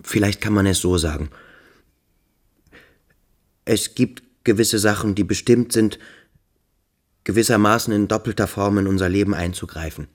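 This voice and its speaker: An actor playing an earnest young boarding-school pupil in a radio play, speaking in a searching, thoughtful, deliberate way. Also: male voice, on the low side, lightly acted.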